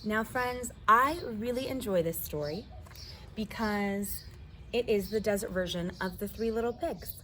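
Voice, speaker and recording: A woman's voice: mid-range voice, a little slow, sharp tone, slight background noise. An American woman telling a children's story aloud, expressively and addressing her young listeners directly. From southwestern United States desert.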